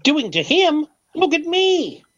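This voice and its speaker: A man's voice: very high-pitched, very animated, slow, a bit bright, dull audio, full character voice. Blustering, wildly swooping outraged man. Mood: indignant. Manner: declamatory, blustering. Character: outraged, melodramatic male customer, theatrically accusing. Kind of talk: 1940s radio comedy acting. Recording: vintage radio with crowd noise.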